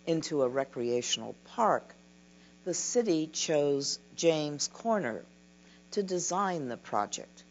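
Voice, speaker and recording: An older woman giving a keynote lecture from a podium, reading a prepared talk in a slow, measured way. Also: low-pitched, darkish tone. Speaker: keynote speaker. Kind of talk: scripted conference lecture. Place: dim lecture hall at a podium. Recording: dull lo-fi recording with mains hum.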